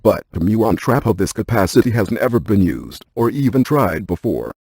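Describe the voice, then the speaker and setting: An unflappable scientist game hero, low and dark in voice with a slightly husky edge. He rattles off technical detail in a precise, clinical deadpan, recorded close and dry. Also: normal speed, dry recording, heavily acted. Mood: clinical, matter-of-fact. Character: brainy, unflappable male scientist who hunts ghosts. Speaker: video game character, ghost-hunting scientist. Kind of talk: scripted game voice lines.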